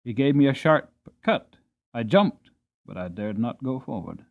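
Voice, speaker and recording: A man narrating a storybook dramatically, expressive and varying his pacing, on a slightly dull recording. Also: mid-range voice, unhurried, gravelly. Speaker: audiobook narrator. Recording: slightly dull, band-limited audio.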